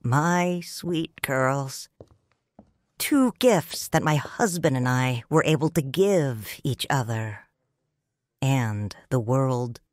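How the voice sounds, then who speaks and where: A woman narrating a first-person audiobook story in a measured, solemn and expressive way. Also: rumbling low, no echo, studio quality.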